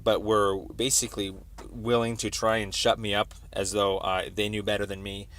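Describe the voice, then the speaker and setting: Male caller, lowish pitch, wordy and halting, laying out a complaint over the phone. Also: flat delivery, fairly bright tone. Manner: halting, wordy, roundabout explanations. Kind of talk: phone conversation, explaining a complaint. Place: telephone call. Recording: recorded phone call.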